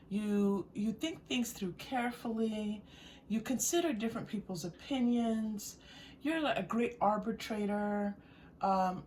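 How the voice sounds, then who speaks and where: A woman giving an unscripted, intuitive tarot reading straight to viewers, speaking gently and reassuringly in halting, searching phrases with repeated words. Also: on the high side. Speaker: tarot reader. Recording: distant mic with faint background noise.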